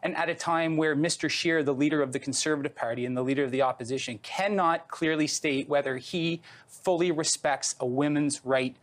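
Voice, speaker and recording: A man's voice: on the high side, brisk, few pauses. A man politician from Canada in a heated panel debate, pointed and persistent. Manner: pointed, persistent, talks over others.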